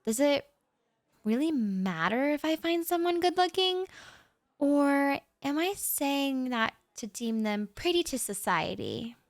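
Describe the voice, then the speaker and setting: A woman's voice: high voice, big pitch swings, slow, dry recording, clean studio sound, heavily acted. A woman playing a shy, anxious young writer who rambles nervously with stammers and little laughs, talking straight to the listener in a roleplay audio. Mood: shy, rambling.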